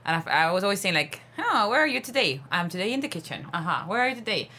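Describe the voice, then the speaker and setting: A woman's voice: on the low side, very animated, fast talker, pushed and bright, slightly rough. A woman from Estonia, an English teacher chatting casually as an interview guest. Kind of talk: casual chat.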